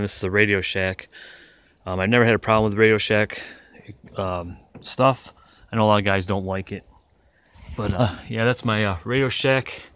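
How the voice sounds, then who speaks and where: Casual vlogger, American male, crisp bright voice, rambling and unpolished, dry close sound. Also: lowish voice, dry recording. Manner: rambling, self-deprecating. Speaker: vlogger, former truck driver. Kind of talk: casual product showcase vlog. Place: inside a parked vehicle, later outdoors beside it.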